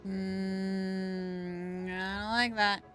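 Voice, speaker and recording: A woman streaming a game, chatting animatedly and thinking out loud. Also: mid-range voice, flat delivery, drawn-out words, soft background music. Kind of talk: casual live-stream commentary.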